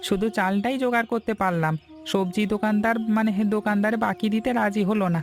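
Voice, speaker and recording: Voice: mid-range voice, fairly even intonation, fast, lightly acted. A voice actor playing a poor, ashamed village father in a cartoon story, speaking in a pleading, apologetic way. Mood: resigned, ashamed.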